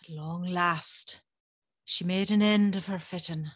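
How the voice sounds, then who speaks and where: Haunted maidservant narrator acted by a woman, husky and very unhurried, grave suspense, gothic audio drama, close dry sound. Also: lowish voice, fairly even intonation, no room sound. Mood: fearful intensity. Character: haunted woman recounting terrors of her days in service. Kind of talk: gothic first-person dramatic storytelling.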